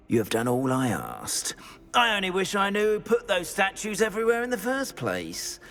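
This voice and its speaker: A man's voice: high-pitched, a bit bright, in a large hall, exaggerated voice. A man playing an anxious, fussy wizard school caretaker in a video game, speaking in a dramatic, hesitant, florid way. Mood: grateful, earnest. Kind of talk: video game character acting.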